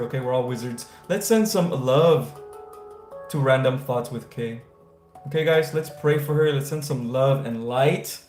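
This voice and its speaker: A man's voice: medium pitch, darkish tone. A man from the United States hosting a casual spiritual livestream, answering viewers and giving enthusiastic, affirmation-style blessings. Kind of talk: casual livestream chat, reading viewer comments. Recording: roomy echo, faint guitar music. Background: faint guitar music.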